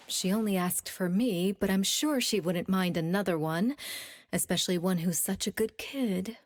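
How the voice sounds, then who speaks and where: A woman's voice: medium pitch, a little mellow, natural voice. A woman voicing a playful, teasing adult colleague in a video game, with a theatrical drawl.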